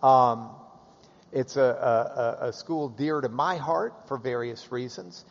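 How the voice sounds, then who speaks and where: A man's voice: mid-range voice, takes it slowly. A man hosting a live onstage interview, jovial and joking, with many fillers. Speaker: host interviewer. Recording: dull sound with long hall reverb.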